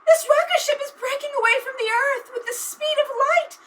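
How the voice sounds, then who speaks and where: A woman's voice: very high-pitched, fairly quick, a bit bright. A woman playing a frightened young heroine in an old-time radio serial, breathless and melodramatic. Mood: panicked.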